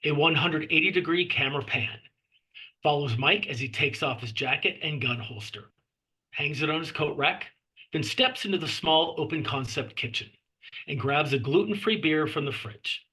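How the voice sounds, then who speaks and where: A man narrates the action lines of a screenplay. His voice is slightly high and bright, with a clearly husky grain, as he reads scripted scene directions. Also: lively intonation, normal speed, poor audio. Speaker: narrator reading stage directions.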